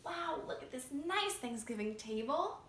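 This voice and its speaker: Lady doing kids storytime, high expressive voice, super animated, reading a picture book. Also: unhurried. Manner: expressive, asking young listeners questions. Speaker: library storytime reader. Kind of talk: animated picture book read-aloud to children. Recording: roomy echo, faint background noise.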